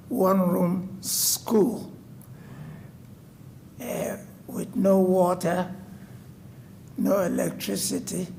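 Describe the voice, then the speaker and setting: A man's voice: sits high, gravelly, darkish tone, slight background noise. A man in his nineties from West Africa, telling a formal personal story to a city board. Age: 90s. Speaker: resident addressing a code enforcement board. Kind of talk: personal testimony at a public meeting. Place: city council chamber.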